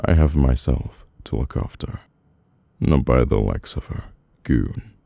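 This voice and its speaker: Very deep, gravelly British mafia boss played by a man. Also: dark tone, dry recording, heavily acted. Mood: cold, dismissive. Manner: slow, halting, weary menace. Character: brooding, domineering mafia boss, bitter and burdened. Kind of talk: intimate ASMR audio roleplay.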